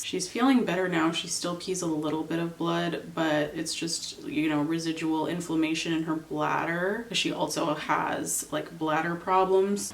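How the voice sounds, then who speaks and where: A woman's voice: fairly low pitch, reverberant. A young woman from Canada, congested and nasal from a cold, chatting casually while she does her makeup. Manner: chatty, rambling, filler-heavy. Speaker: beauty vlogger.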